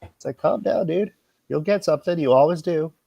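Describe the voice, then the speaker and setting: A man's voice: a little high, a little fast, gravelly, mellow, no echo, lo-fi sound. A man, a guest co-host, sounding congested and talking in a friendly, chatty way. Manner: friendly, chatty, does cat meows. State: congested, snotty. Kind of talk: casual podcast chat.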